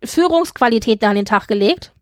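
High-voiced woman podcaster, dry sound. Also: animated, brisk, a little mellow, no room sound. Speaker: podcast host. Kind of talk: conversational review discussion of a TV series.

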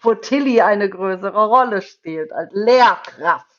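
A woman's voice: mid-range voice, expressive, slow. A woman co-hosting a podcast, chatting casually in a rambling way that often repeats words. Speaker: podcast co-host. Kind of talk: casual chat about TV series news. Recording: slightly dull, lo-fi microphone sound.

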